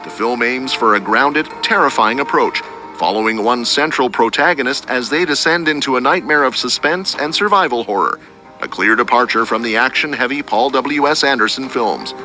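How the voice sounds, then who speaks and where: A man with a mid-pitched voice narrates scripted entertainment news. His delivery is formal and measured, like an announcer, with music clearly playing behind him. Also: pushed and bright, low-quality recording. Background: prominent music.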